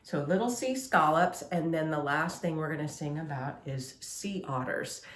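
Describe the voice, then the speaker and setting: A woman's voice: low voice, normal speed, slight background noise. A woman leading an online story time for young children as a librarian, giving simple instructions and asking them questions. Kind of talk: children's online lesson with songs.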